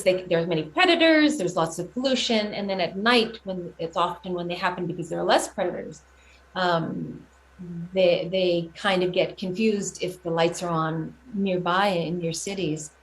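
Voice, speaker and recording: A woman giving a casual interview about her own work, speaking earnestly and haltingly as she thinks out her explanations. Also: medium pitch, low-quality recording. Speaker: guest, children's singer and teaching artist. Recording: clear steady background noise.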